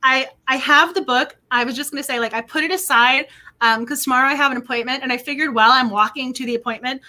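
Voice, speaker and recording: A woman hosting a book club livestream in casual chat, excitable and quick to laugh, her voice getting worn. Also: high-pitched. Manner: excitable, laughs often, repeats words.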